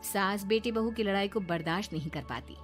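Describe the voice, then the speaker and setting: Mid-pitched woman narrating a story. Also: brisk. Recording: music behind the voice.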